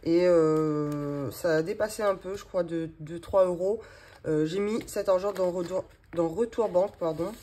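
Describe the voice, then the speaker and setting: Chatty woman in her thirties, rather low. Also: moderate pace, warm tone. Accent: regional French accent. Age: 30s. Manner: chatty, rambling, many fillers and restarts, laughs often. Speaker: budgeting vlogger. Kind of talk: casual chat while doing a cash-envelope budget.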